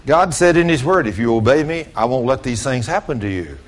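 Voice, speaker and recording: A man's voice: medium pitch, expressive, a little fast. An older man, near seventy, preaches with a mid-range voice. He is folksy and plain-spoken, telling stories in an informal sermon and addressing his listeners directly. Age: late 60s.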